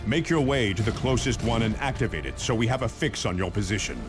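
An actor playing a solemn, commanding space marine officer in a dramatic video game. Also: male voice, fairly low pitch, animated, fast, close to an everyday voice. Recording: loud music and gunfire behind.

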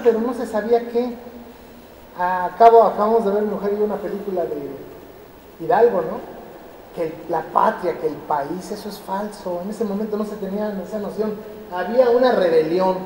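A man in his fifties from Mexico giving a lively public lecture, questioning his audience and pressing his points. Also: high-pitched, slight background noise. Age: 50s. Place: large roofed hall with metal roof beams. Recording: echoey hall, camera at a distance.

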